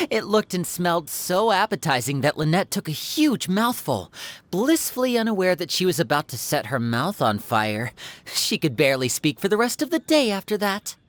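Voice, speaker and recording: Poised, lower-voiced, lively young magician. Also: female voice, moderate pace, no room sound. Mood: amused. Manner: polite, articulate, playful. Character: poised, gracious, playful young female traveling magician. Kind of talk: video game cutscene dialogue.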